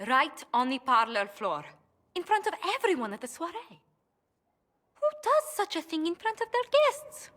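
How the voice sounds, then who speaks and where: A woman's voice: extremely high pitch, very animated, fairly bright tone, long reverb tail, exaggerated voice. A woman playing a courteous, composed ambassador in a video game, speaking formally with mild exasperation. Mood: exasperated gossip. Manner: formal, polite, measured phrasing. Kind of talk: video game character dialogue.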